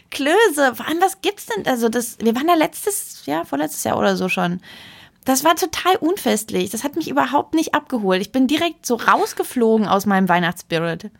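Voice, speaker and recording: A young woman co-hosting a podcast, chatting casually in an animated, emphatic, rambling way. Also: high voice, moderate pace, gravelly. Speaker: podcast co-host.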